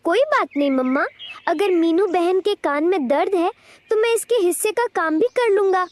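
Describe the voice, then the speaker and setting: Woman as a very high-pitched little boy bird. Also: lively intonation, moderate pace, no echo. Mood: eager. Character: helpful, obedient young boy bird. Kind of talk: Hindi children's cartoon dub.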